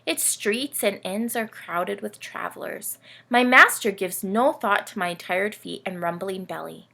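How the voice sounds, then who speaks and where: A woman plays a vain, melodramatic storybook camel who feels very sorry for himself. The voice is bright but heavily creaky, and every phrase is drawn out slowly and theatrically. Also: mid-range voice, exaggerated voice. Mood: weary, confused. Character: self-pitying, melodramatic, vain male camel of the Nativity.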